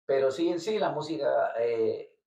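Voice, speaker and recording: A man from Colombia, a musician being interviewed, talking casually and haltingly, often repeating words as he searches for them. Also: medium pitch, lively intonation, creaky, warm tone. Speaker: guest musician. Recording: roomy, distant, dull lo-fi sound.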